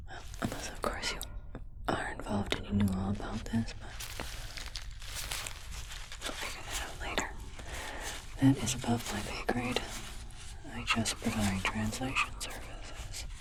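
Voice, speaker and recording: A woman playing a calm alien translator technician in a whispered ASMR roleplay, speaking softly and carefully, like a reassuring clinician. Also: medium pitch, measured pace, pauses often, lightly acted, rough recording. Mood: wry, detached.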